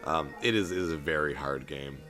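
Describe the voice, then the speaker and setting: A young man talking casually over a retro game he is playing, in an even, monotone way, with many ums and restarts. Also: very deep voice, fairly quick. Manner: self-described monotone, rambling with filler and restarts. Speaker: let's play host. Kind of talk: casual gameplay commentary. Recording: game music playing behind voice.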